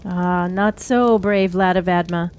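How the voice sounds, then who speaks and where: A woman's voice: lowish voice, steady tone, a little mellow, lightly acted. Woman acting a cryptic wise witch, noisy background. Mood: knowing, grave. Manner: measured, riddling, archaic storyteller phrasing. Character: cryptic, sorrowful wise woman, a witch who counsels youths. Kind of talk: fantasy radio drama acting.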